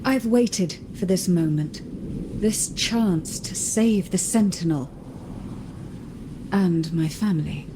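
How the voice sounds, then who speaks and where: Cool noble lady in a game, formal n guarded, really slow careful talk, noisy capture. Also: lowish voice. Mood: resolute. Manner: formal, measured. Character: composed, resolute noblewoman, guarded and coolly polite. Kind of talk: scripted video game voice acting.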